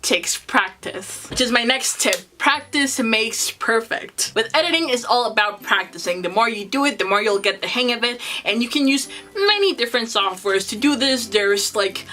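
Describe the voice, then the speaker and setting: Bright-voiced woman with a Spanish accent. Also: fairly high pitch, animated, normal speed, hardly any pauses. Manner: earnest, encouraging, emphatic. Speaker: booktuber. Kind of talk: advice vlog to camera.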